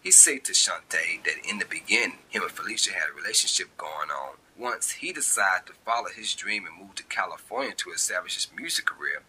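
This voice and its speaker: A man's voice: low voice, animated, a little fast, hardly any pauses, bright, forceful tone, slight background noise, rough recording. A man narrating his own short story as an amateur reader.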